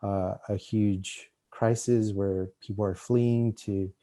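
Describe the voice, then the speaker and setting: Slow, halting man with a dark voice. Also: lowish voice, fairly even intonation. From Mexico, raised in Los Angeles. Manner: halting, many restarts and fillers. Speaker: visiting artist lecturer. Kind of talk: art lecture.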